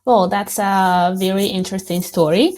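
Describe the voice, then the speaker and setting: A woman from Italy with an Italian accent, answering interview questions as a tourism representative in a measured, explanatory way. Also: mid-range voice, fairly even intonation, darkish tone. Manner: explanatory, measured, with small slips.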